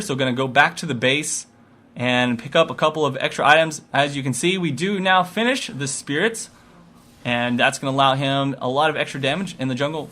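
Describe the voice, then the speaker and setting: A man giving play-by-play commentary on a video game, getting excitable as the action builds. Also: mid-range voice. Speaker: esports commentator.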